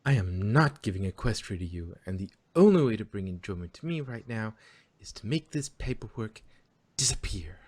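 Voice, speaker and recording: A man's voice: on the low side, animated, moderate pace, a little mellow, husky. A man voicing a stressed, irritable young female pony princess in an amateur audio drama, with emphatic exasperation. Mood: annoyed.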